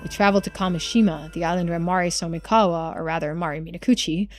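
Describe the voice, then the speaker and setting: A woman's voice: on the low side, steady tone, quick pace, soft background music, close to an everyday voice. A woman voicing a guilt-ridden, determined schemer in a mystery game, reading the dialogue aloud with earnest theatricality. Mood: grim, regretful. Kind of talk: dramatic read-aloud of visual novel dialogue.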